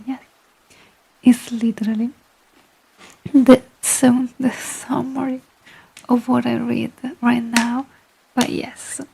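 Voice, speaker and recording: A woman from Italy with an Italian accent, whispering and softly chatting with hesitant fillers as an ASMR streamer. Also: fairly high pitch, creaky, husky, rough recording. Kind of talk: soft-spoken ASMR storytelling and chat.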